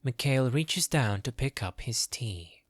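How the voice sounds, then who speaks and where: A man's voice: medium pitch, lively intonation, slow, no room sound, studio quality. A man narrating a fantasy audio drama from a script.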